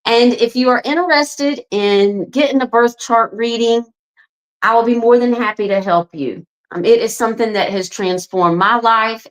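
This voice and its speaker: A woman's voice: medium pitch, rough recording. A woman in her forties talking casually and earnestly to viewers, with frequent ums and "right?" tags, promoting her astrology readings. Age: 40s. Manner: earnest, chatty, addresses viewers as folks. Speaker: astrology and wellness coach. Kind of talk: casual direct-to-camera promo talk.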